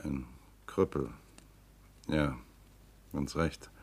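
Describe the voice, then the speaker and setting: An actor playing a composed, precise lawyer and political candidate in a radio drama, speaking calmly and politely. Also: male voice, rumbling low, drawn-out words, slightly rough, full character voice. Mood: cool. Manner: calm, precise, coolly polite. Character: suave composed male lawyer-politician suspected robot. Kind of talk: 1960s-70s radio drama acting.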